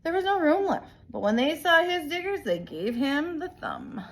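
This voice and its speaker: A woman reading a picture book aloud in an animated, emphatic way, like a teacher telling a story to young children. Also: high voice, moderate pace. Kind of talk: expressive storybook read-aloud.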